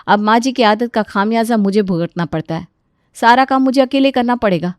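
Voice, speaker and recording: A woman's voice: on the high side, a little fast, a little mellow, clean tone, dry recording, clean studio sound, lightly acted. A woman playing a patient, weary elder daughter-in-law, respectful but aggrieved, in a family story.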